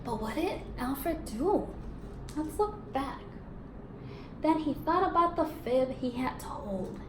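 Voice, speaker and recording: A woman's voice: high voice, animated, slow pace. A woman teacher reading a storybook aloud to young pupils, expressively, prompting them with questions and affirming answers. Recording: roomy echo, faint background noise.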